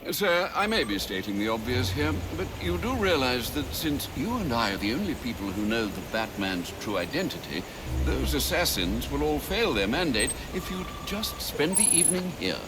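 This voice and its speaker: Man playing an expressive older British butler. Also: mid-range voice, normal speed, background noise, close to an everyday voice. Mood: concerned. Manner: wry, sardonic, formal. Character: dry, worried, formal older British butler. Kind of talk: cinematic video game acting.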